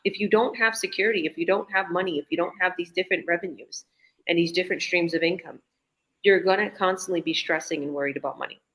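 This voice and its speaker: A woman's voice: lowish voice, quick pace, fairly bright tone, poor audio. A woman, an online business owner, chatting enthusiastically on a group video call with many restarts.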